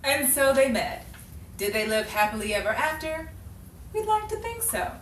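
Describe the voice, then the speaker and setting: A woman's voice: sits high, big pitch swings, normal speed. A woman hosting and directing a radio-style show, speaking to listeners and cast. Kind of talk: radio show sign-off and casual studio chat. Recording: echoey, distant mic, clear noise.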